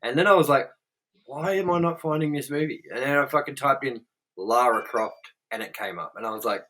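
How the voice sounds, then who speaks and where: A man's voice: mid-range voice, fairly quick, pushed and bright, poor audio. A young man hosting a casual movie review chat, blunt and swearing often. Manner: swears a lot, blunt and frank. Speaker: host.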